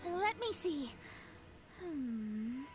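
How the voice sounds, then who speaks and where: A woman's voice: sits high, sing-song, extremely slow, full character voice. A woman voicing a clever, confident young female astrologer in a video game, explaining things in an articulate, self-assured way. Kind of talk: scripted video game dialogue. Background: game background music.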